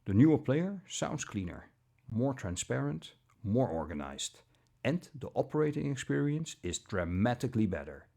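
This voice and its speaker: A man narrates a scripted gear review with deliberate phrasing. His mid-pitched voice is husky with a gravelly edge, and it moves up and down in a lively way.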